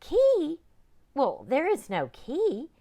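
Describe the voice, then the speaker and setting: Woman voicing a librarian, female, flustered, high, protesting. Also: wildly swooping pitch, drawn-out words, mellow, exaggerated voice.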